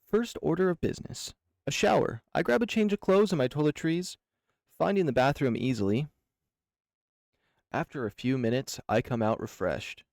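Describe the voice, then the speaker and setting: A man who hosts a channel, reading a visual novel aloud in a slow, measured, dreamy way. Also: on the high side, lively intonation, vocal fry, a little mellow, no room sound, clean studio sound. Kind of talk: dramatic reading of game text.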